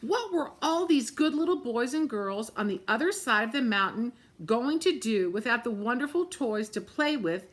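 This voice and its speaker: A woman, a teacher, reading a picture book aloud at storytime, gently pointing out the pictures and often clearing her throat. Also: a little high. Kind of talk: storytime read-aloud to children.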